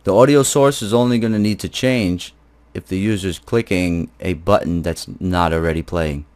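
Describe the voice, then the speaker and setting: A man's voice: low-pitched, normal speed, darkish tone, clear, resonant tone. A man teaching an informal screencast programming tutorial, explaining step by step in a casual, blunt, conversational way.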